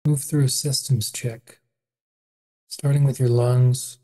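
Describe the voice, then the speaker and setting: A meditation guide with an adult voice, leading a body scan in a calm, unhurried, gentle way with pauses. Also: mid-range voice.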